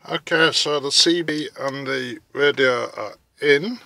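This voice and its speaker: A man giving casual, halting hands-on commentary as he thinks aloud through a DIY job, recorded on a handheld phone. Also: medium pitch, gravelly, bright, forceful tone. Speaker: vlogger narrating a DIY car radio install.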